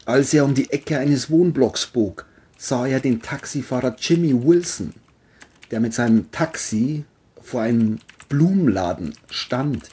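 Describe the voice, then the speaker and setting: Male crime-story narrator, dark mellow tone, slightly rough texture, scripted delivery. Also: medium pitch, expressive, unhurried.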